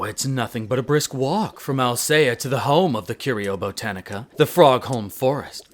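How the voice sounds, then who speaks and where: A man's voice: medium pitch, lightly acted. A man playing a haughty, teasing elf archaeologist in a scripted audio roleplay, speaking pompously and precisely to the listener. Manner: pompous, precise, theatrical enunciation. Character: tsundere male elf archaeologist-alchemist, haughty, brisk, teasing scholar.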